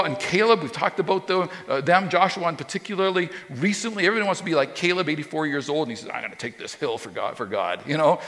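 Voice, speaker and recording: Animated pastor preaching in a reverberant church. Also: male voice, a little high. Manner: conversational, animated, many restarts and repeated words. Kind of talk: sermon. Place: church stage with a drum kit behind, indoors. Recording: long reverb of a church hall.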